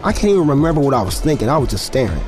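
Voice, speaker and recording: A man's voice: mid-range voice, sing-song, racing, darkish tone, faint music behind, close to an everyday voice. An actor playing a guarded young football player in his twenties, confiding in a conversational, slightly hesitant audio drama narration. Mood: reflective, confiding.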